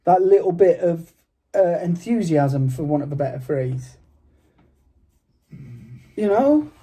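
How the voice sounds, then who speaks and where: A man co-hosting a casual football chat, chatty and playful, with a voice he calls high-pitched. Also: lively intonation, slow, mellow, breathy edge. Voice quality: says he has a high-pitched voice. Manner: chatty, playful, argues back easily. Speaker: podcast co-host.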